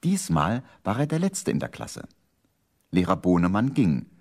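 A man with a husky voice narrating a scripted children's story for a radio play. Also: deep voice, huge pitch swings, a little slow, a little mellow.

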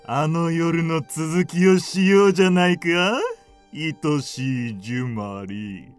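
An actor playing a lecherous, gloating bandit villain with a slow, leering delivery in a radio drama. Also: male voice, fairly high pitch, soft background music. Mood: lecherous coaxing. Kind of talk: Japanese radio drama acting.

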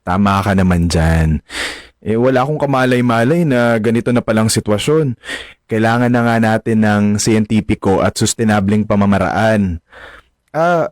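A man's voice: fairly low pitch, moderate pace, no echo, studio quality, close to an everyday voice. An actor playing an earnest, smitten young man in a radio drama, with a Filipino accent. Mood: earnest, shy. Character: smitten young male stockbroker, fisherman's son, earnest and shy. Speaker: actor and first-person narrator.

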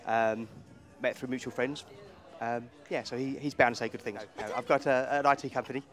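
A man's voice: mid-range voice, fairly even intonation, fairly quick. A middle-aged man, a gym owner, chatting at a party in a casual interview, hesitating with many ums and repeated words. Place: indoor party venue. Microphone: handheld mic.

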